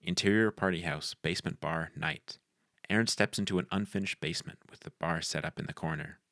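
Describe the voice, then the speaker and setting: A man's voice: low-pitched, fairly quick, fairly bright tone, dry recording, clean studio sound. A man reading scene headings and stage directions as narrator at a script table read.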